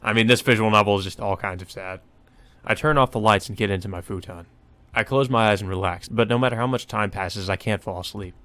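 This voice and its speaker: A man's voice: fairly low pitch, fairly quick, a bit bright, no room sound. A man hosting a Let's Play, reading a visual novel aloud with casual commentary.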